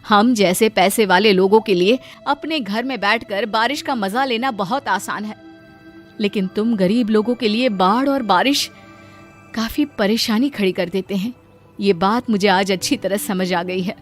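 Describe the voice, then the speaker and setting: A woman's voice: medium pitch, moderate pace, soft background music, lightly acted. A woman voicing a wealthy lady employer who is humbled and grateful, speaking earnestly in a cartoon moral story. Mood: remorseful, earnest.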